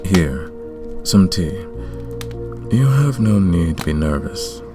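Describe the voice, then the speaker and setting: A man playing a courtly, commanding, lovestruck vampire king in a romantic ASMR roleplay, speaking in a formal, deliberate way. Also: low-pitched, animated, music in the background. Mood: gracious, courteous.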